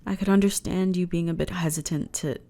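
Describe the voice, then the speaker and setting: A woman's voice: lowish voice, steady tone, brisk, lightly acted. A woman playing a flirty, reassuring alien starship officer in an intimate ASMR roleplay, talking in a chatty, teasing way. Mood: gentle, reassuring. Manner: teasing, chatty, conversational with sighs and soft laughs.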